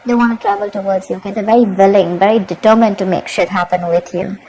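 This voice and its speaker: A woman's voice: mid-range voice, steady tone, quick pace, mellow, poor audio. A woman giving a tarot reading to her viewers, emphatic and repetitive, often checking in with "okay?". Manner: emphatic, repeats herself, keeps asking "okay?". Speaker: tarot reader.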